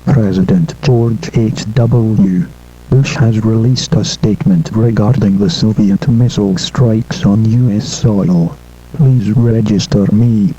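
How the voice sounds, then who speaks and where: A synthetic male voice with a husky tone, making a formal scripted announcement as a station announcer. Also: fairly low pitch, fairly even intonation, takes it slowly, mellow, slight background noise. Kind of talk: scripted broadcast interruption announcement. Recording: muffled lo-fi broadcast.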